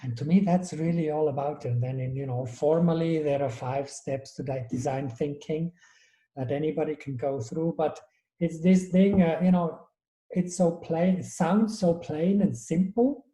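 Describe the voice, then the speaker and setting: Man with a dark, echoey voice. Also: fairly high pitch, steady tone, normal speed. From Switzerland. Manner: thoughtful, restarts sentences often. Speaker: guest, innovation strategist. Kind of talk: conversational interview.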